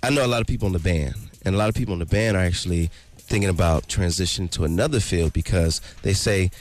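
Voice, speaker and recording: A man's voice: sits low, fast talker, a little mellow, soft background music. A man, a soldier, talking informally in a casual radio discussion. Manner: conversational, informal. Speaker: soldier, radio panel guest. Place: radio studio.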